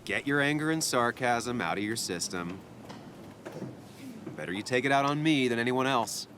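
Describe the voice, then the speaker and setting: An actor playing a teasing yet supportive inner-voice companion in a video game, with a wry, coaxing manner. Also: male voice, mid-range voice, lively intonation, normal speed, noisy background, natural voice. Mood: coaxing, reassuring.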